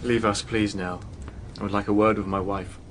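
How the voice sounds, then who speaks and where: An actor playing a stern, formal gentleman husband in a period radio drama, restrained but indignant. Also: fairly low pitch, flat delivery, normal speed, slight background noise, close to an everyday voice. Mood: controlled, reproachful.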